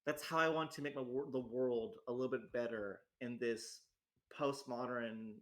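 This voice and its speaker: A young man in his twenties hosts his own casual talk, rambling and self-deprecating. He keeps circling back and restarting his sentences with lots of fillers, and his voice sits at an ordinary middle pitch for a man. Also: steady tone, moderate pace. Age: 20s. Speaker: host. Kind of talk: casual solo chat. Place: home in a rural area.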